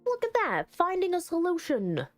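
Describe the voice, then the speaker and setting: A woman's voice: very high-pitched, huge pitch swings, normal speed, faint music behind. A woman giving wry, chatty running commentary as she plays a story game. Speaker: streamer reading a visual novel aloud. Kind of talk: playthrough commentary with reactions.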